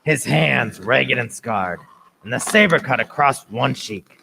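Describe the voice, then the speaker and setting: A man's voice: medium pitch, lively intonation, measured pace, creaky, background noise. A man playing an earnest grown-up storyteller who recalls his boyhood in scripted, dramatic radio play narration. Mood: descriptive.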